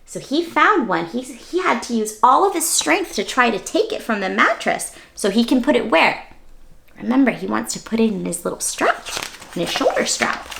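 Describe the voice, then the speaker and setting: A woman's voice: fairly high pitch, big pitch swings, normal speed, creaky, fairly bright tone, slight background noise. A woman hosting a children's read-aloud, narrating expressively with exclamations and questions to the listener.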